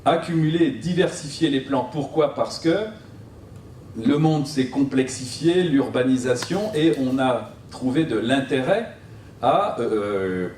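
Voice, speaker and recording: Halting man explaining in an echoey room. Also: mid-range voice, a little mellow. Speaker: urban planning expert answering at a seminar. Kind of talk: spontaneous public explanation. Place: seminar room, recorded from a distance. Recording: roomy, distant mic, faint noise.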